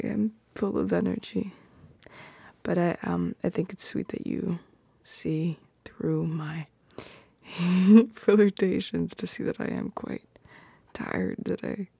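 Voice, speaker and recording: A woman acts out a tender, playfully jealous girlfriend in an intimate ASMR roleplay. Her voice is dark and husky, drifting into whispers with hesitant pauses. She speaks slowly and teasingly, very close to the microphone. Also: mid-range voice, dry recording, full character voice. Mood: sleepy, affectionate. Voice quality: soft, breathy, often slipping into whispers.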